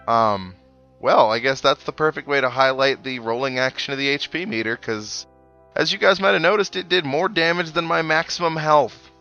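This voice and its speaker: A man's voice: fairly high pitch, moderate pace, pushed and bright, lo-fi sound. A man giving casual video game commentary in a wry, sarcastic, mock-exasperated way. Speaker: host of a video game playthrough. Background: video game music.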